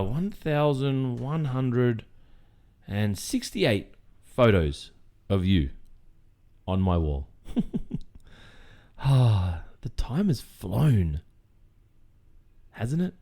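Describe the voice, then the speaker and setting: Deep, slow, obsessive Australian boyfriend acted by a man. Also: darkish tone, exaggerated voice. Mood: besotted, creepily proud. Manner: hesitant, halting, nervous chuckles, falsely reassuring. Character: obsessive, creepily cheerful young male kidnapper boyfriend. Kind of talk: ASMR boyfriend roleplay, intimate monologue.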